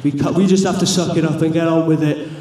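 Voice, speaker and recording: A man with a South Welsh accent from South Wales, cutting a fiery wrestling promo to a live crowd. His speech builds to furious shouting. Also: steady tone, quick pace. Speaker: pro wrestler. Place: large arena with a live crowd. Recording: booming echo from a big hall, crowd noise.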